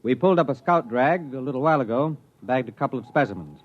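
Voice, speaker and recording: Starship commander, played by a male actor: mid-pitched man, measured and commanding, 1950s radio drama, muffled old recording. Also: lively intonation, lightly acted. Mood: measured, grave. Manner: authoritative, deliberate military formality.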